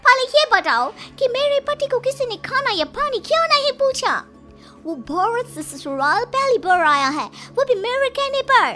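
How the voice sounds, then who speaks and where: A devoted young cartoon bride, acted out by a woman, speaks in a very high, bright voice. She is earnest and melodramatic, drawing words out slowly with big swings. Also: sing-song, faint music behind, full character voice. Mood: upset, protective. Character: earnest, devoted young Chinese daughter-in-law, cartoon heroine.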